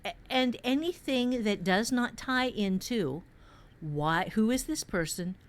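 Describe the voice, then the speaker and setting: A woman's voice: fairly high pitch, expressive, a little slow. Woman explaining things with playful emphasis. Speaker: writing podcast host and teacher. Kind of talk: casual advice talk on fiction writing.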